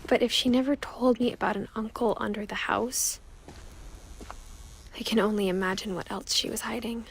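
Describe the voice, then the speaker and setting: An actress playing a pensive young woman narrating a video game story in a soft, hushed, thoughtful way. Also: a little high, steady tone, normal speed, gravelly, slight background noise. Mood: pensive, subdued. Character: pensive young woman, last of her family. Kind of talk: introspective scripted game narration.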